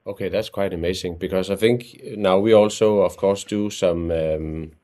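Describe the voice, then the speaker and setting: Male host with a low voice; hesitant, repeats and restarts himself. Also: steady tone, mellow. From Denmark. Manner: halting, repeats words and restarts phrases. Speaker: podcast host. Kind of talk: casual interview talk.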